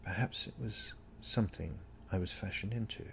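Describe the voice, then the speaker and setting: Gravelly, low-voiced man reading an eerie story. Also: wildly swooping pitch, moderate pace, a little hiss or hum. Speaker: story narrator. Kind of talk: dramatic first-person audiobook reading of an eerie story.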